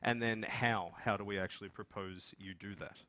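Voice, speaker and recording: Bright, forceful Australian man presenting. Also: fairly low pitch, steady tone, normal speed, a little hiss or hum. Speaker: conference speaker, software development manager. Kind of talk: informal conference presentation. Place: meeting room with seated audience, indoors.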